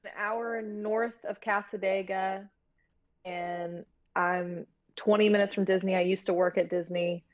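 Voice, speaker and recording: A woman's voice: medium pitch, steady tone, a little slow. A woman guest on a podcast, chatting casually in an informal, slangy way. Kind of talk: casual chat. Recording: dull lo-fi stream audio.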